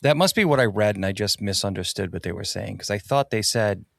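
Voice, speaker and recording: A man's voice: lowish voice, expressive, brisk, creaky, a bit bright. A man co-hosting a podcast in casual conversation, informal and swearing freely. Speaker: podcast co-host.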